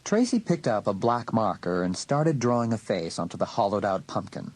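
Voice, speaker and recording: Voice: medium pitch, very animated, fairly quick, a little mellow, no room sound. A narrator reading a scripted, dramatic story for an audiobook.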